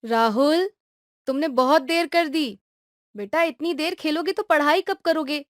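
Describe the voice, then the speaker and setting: A woman's voice: high-pitched, a little slow, dry recording, studio quality, pitched above the performer's own voice. A woman voicing a caring young mother in a cartoon story who scolds and reassures her son. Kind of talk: cartoon voice acting.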